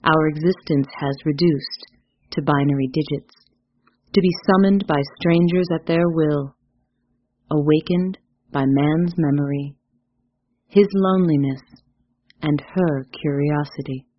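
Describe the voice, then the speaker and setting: A woman's voice: low-pitched, measured pace, pauses often, no echo. Woman reciting poetry, dry close sound. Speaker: poetry reader. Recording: dry, slightly dull lo-fi recording.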